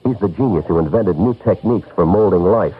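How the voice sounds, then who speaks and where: An actor playing a tough, loyal investigator in an old-time radio drama, blunt and slangy. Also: male voice, low-pitched, a little fast, lightly acted. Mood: wry narration. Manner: blunt, slangy, world-weary. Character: tough, loyal male hard-boiled investigator. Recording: muffled old radio recording, faint music.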